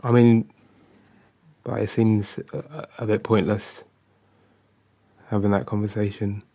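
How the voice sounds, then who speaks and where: A man acts a disappointed husband in an audio drama, his voice lowish and very flat. He is guarded and hesitant, trailing off as he goes. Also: slow, creaky, exaggerated voice. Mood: dismissive. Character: disappointed, withdrawn academic husband and father. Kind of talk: naturalistic audio drama dialogue.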